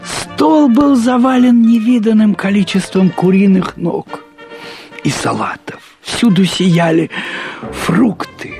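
A man reads a literary story aloud in the first person, expressively, with deliberate phrasing, drawn-out words and pauses. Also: high-pitched, creaky, a little mellow, faint music behind. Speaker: narrator reading a short story aloud.

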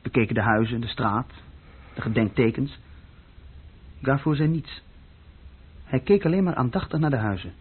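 A man's voice: mid-range voice, normal speed, pauses often, breathy edge. An actor playing a troubled, plain-spoken young German man who recounts his past in short matter-of-fact sentences in a radio play. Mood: matter-of-fact, reflective. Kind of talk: radio play first-person narration. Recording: dull lo-fi old radio play recording.

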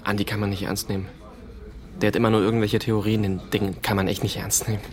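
A man's voice: on the low side, level tone, fast, natural voice. An actor playing a sullen, insecure teenage boy in a naturalistic radio drama, speaking in a hesitant, colloquial way. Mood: guarded. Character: sullen, insecure fourteen-year-old boy, younger brother of a soldier.

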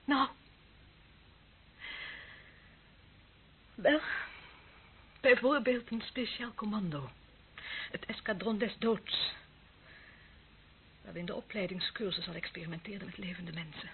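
A woman's voice: mid-range voice, moderate pace, pauses often, close to an everyday voice. An actress playing an embittered, lonely young policewoman in a radio drama, bitter and taunting, often dropping to a whisper. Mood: scornful, anguished. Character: embittered, lonely young policewoman, former death squad member. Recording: muffled phone-like band, clearly noisy old recording.

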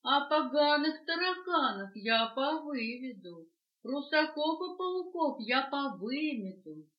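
A grandmother reading aloud puts on a sorry old peasant granny, crooning softly to her runaway pots and pans. She sings slowly, in a slightly high voice. The storybook reading has a muffled cassette sound with room echo. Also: big pitch swings, heavily acted. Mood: tender. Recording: dull echoey home cassette.

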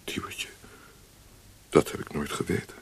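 An actor playing a tender young painter-poet courting his sweetheart in a radio drama, speaking softly and coaxingly. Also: unhurried, in a whisper, lightly acted. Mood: loving, coaxing. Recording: hissy, noisy old radio recording.

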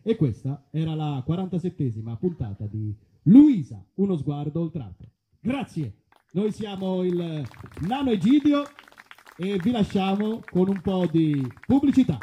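A man's voice: high voice, big pitch swings, unhurried, dark tone. A man narrating a live comedy reading in a theatrical, mock-epic, rambling manner. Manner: theatrical, absurd rambling lists.

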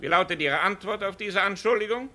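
Sharp, bright-voiced stern judge. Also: male voice, high-pitched. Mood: stern, formal. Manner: formal, declamatory, measured. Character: stern formal judge reading out a murder charge. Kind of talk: old German radio crime play.

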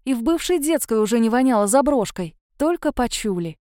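A woman narrates a first-person suspense story as an audiobook. Her voice sits a little high yet has a dark, relaxed softness to it. The sound is dry and close, with no room around it. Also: fairly quick, no room sound.

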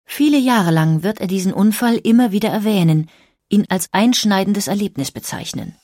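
A woman narrating a scripted biographical documentary in a measured, precise way. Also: medium pitch, expressive, dark tone, no room sound, studio quality.

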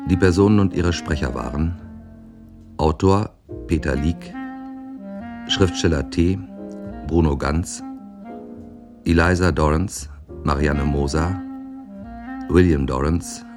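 A man's voice: a bass voice, takes it slowly, pauses often. A man reading the credits of a radio play as a formal broadcast announcer. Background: woodwind music.

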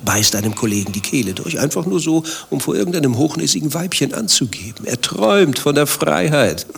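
A man's voice: lowish voice, vocal fry, fairly bright tone, breathy edge, full character voice. An actor playing an infatuated married man in a radio play, defensive and given to impassioned philosophizing. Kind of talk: German radio play dialogue acting.

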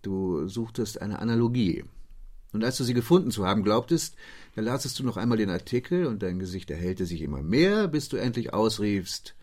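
An actor playing a bored, conceited detective in a German radio play, languid and lecturing in a condescending way. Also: male voice, lowish voice, animated, brisk, a little mellow. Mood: smug, lecturing. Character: bored, conceited male detective, a Sherlock Holmes parody.

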